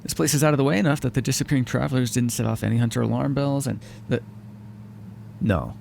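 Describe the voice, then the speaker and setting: A man's voice: medium pitch, fast talker, gravelly, darkish tone, slight background noise. A man voicing a sarcastic, foul-mouthed vampire who is grudgingly helpful and hungry, speaking intimately to the listener in an audio roleplay. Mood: grudging, dry. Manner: sarcastic, wry, exasperated, conversational. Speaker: roleplay voice actor.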